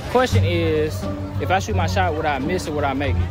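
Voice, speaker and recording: A man's voice: a little high, fairly quick. A man hosting casual, slangy street interviews, hyped and repeating catchphrases. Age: 20s. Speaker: street interviewer, vlog host. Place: outdoors at a car show field. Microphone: handheld device held to mouth. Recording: music behind outdoor handheld recording. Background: music.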